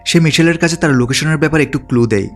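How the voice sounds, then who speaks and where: A man's voice: fairly high pitch, very fast. A man reading scripted narration that retells a film's story as a recap narrator. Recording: background music under the voice. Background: music.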